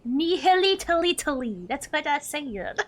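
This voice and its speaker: A woman's voice: high-pitched, very animated, measured pace. A woman livestreaming a game, chatting casually with viewers in a playful, slangy, giggly manner.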